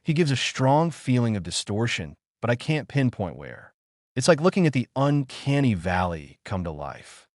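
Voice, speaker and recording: A man voicing an uneasy gentleman in an audiobook, with a halting, emphatic manner full of distaste. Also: medium pitch, sing-song, no room sound, clean studio sound, close to an everyday voice. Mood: disgusted, baffled. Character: uneasy gentleman struggling to describe a repellent man.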